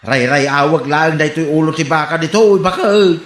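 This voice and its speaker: A man playing a silly, excitable old husband in an Ilocano radio comedy drama, with an Ilocano accent. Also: on the high side, fairly quick, close to an everyday voice. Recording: echoing room, faint music behind.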